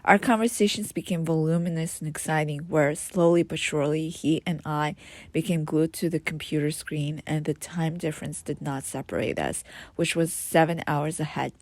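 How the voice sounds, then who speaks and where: A woman with a low, grounded voice narrates her own life story in English. She reads in an earnest, reflective way and now and then stumbles and restarts a word. The recording is close and dry, with no room sound. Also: fairly even intonation, moderate pace, darkish tone, no room sound. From Israel. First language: Hebrew. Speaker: memoir author reading her own book. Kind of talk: first-person memoir narration.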